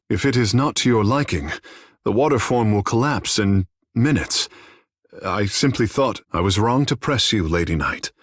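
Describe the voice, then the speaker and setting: Young proud godlike from a fantasy game, lowish husky voice, talks all formal and poetic. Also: male voice, dry recording, clean studio sound. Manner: formal, lyrical, theatrical phrasing. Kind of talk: video game character dialogue.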